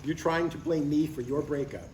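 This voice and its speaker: A man's voice: a little high, normal speed, darkish tone, close to an everyday voice. An older man playing a blunt, devout father in a live comedy table read, matter-of-fact and mildly exasperated. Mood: stern, blunt. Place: live reading in a room. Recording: roomy, distant live recording with faint noise.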